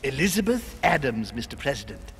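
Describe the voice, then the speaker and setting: Mannered, swooping aristocratic host. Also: male voice, mid-range voice, big pitch swings, brisk, vocal fry, a little hiss or hum. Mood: polite, reassuring. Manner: courtly, theatrical, polite. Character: genteel male aristocratic host, courteous and mannered. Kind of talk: video game cutscene acting.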